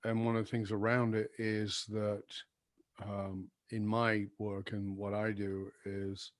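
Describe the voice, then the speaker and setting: A man's voice: fairly low pitch, no room sound, clean studio sound. A man hosting an interview, feeling his way through questions with many ums and self-corrections. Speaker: podcast host. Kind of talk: interview conversation.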